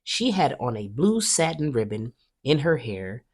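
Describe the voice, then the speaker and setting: A woman reading a children's story aloud with expressive narration. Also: very deep voice, unhurried, breathy edge. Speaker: storybook reader.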